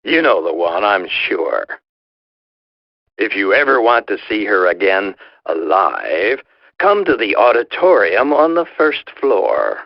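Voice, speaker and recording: A sinister male kidnapper in a video game, heard over a muffled radio. He taunts coldly and takes his time, in a deepish voice that bends with relish. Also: sing-song, slow pace, gravelly, no echo, full character voice. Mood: cold threat.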